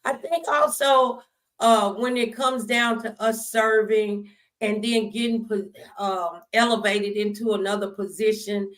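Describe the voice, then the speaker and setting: A woman's voice: mid-range voice, steady tone, measured pace, low-quality recording. A woman hosting a faith talk show, speaking conversationally in a folksy, preacherly manner.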